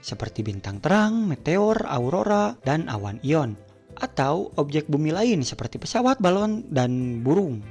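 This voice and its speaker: Animated man explaining, music behind. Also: fairly high pitch, a little slow. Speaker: host of a cartoon explainer show. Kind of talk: informal comic explainer narration.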